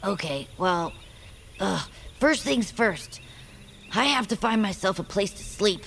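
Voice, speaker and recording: A woman's voice: medium pitch, animated, a little slow, vocal fry, fairly bright tone, slight background noise. A woman voicing a young, mopey woodland creature in a family audio drama, with a dry, skeptical, hesitant manner. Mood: wry, doubtful. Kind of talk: family audio fiction character acting.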